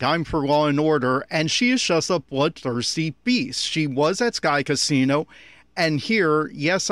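A man's voice: fairly high pitch, a bit bright, no echo, clean studio sound. A man giving an opinionated, critical audio commentary on an anime.

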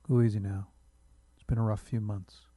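A male narrator puts on a laid-back husband in a short story. He sounds casual and teasing, quick to protest, with a very mellow, slightly husky tone and a dry, close sound. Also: on the low side, level tone, no echo, close to an everyday voice. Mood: coaxing. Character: laid-back, defensive husband proud of his sand art.

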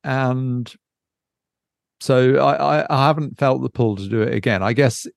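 British man with a creaky, gravelly voice. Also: medium pitch, a little slow, no echo, studio quality. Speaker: podcast co-host. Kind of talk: casual unscripted chat.

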